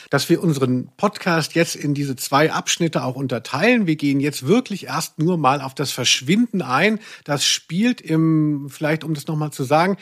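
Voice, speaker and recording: A man hosting a humorous podcast, talking in a wordy, mock-serious way. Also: a little high. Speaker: podcast host.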